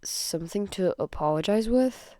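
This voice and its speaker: A woman voicing a restrained, quietly stern adult male teacher in a story reading, measured in manner. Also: mid-range voice, expressive, no room sound, clean studio sound, close to an everyday voice. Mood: calm, restrained.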